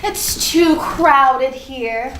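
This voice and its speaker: In an echoey room, a woman plays a hungry snake-like creature with a high voice. It grumbles slowly and dryly, fed up and looking for a hole, as part of a staged reading. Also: a bit bright, full character voice. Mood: grumbling. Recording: echoey room, noisy amateur stage recording.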